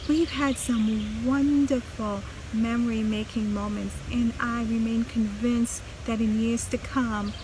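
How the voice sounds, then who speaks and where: A woman's voice: on the high side, fairly even intonation, unhurried, hardly any pauses, noisy background. A middle-aged woman from Trinidad and Tobago who hosts a vlog and tells her own story in an earnest, reflective way, often restarting her sentences. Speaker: vlog host.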